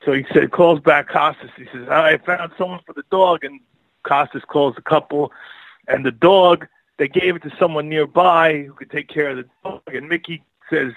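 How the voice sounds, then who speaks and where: A man's voice: fairly high pitch, creaky, a bit bright, no room sound, rough recording. A man with a Brooklyn and Long Island accent, telling a long rambling story as a radio guest, laughing often and full of fillers. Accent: Brooklyn/Long Island New York. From New York. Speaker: guest author and filmmaker. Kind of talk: casual radio interview.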